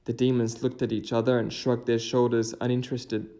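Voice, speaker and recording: A man's voice: medium pitch, completely flat delivery, a little fast, warm tone. A man speaking as a hiking vlogger, moving between casual trail commentary and folktale narration.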